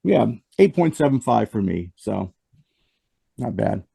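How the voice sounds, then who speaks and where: A man's voice: on the low side, very animated, a little slow, gravelly, warm tone, husky, no room sound, studio quality. A man hosting a casual music review chat and losing his voice after the flu, rambling with frequent restarts and fillers. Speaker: host.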